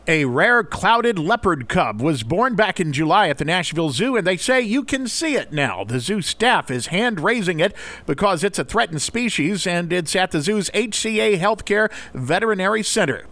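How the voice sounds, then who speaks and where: This is a man anchoring a radio newscast. His voice sits high for a man. He reads prepared news copy in bulletin style. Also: sing-song, moderate pace, sharp tone, no room sound. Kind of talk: scripted radio news reading.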